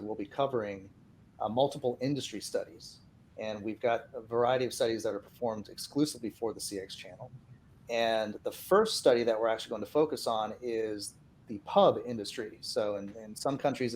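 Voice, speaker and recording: A man's voice: fairly low pitch, darkish tone, a little hiss or hum. A middle-aged or older man hosting a podcast in a conversational way. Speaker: podcast host.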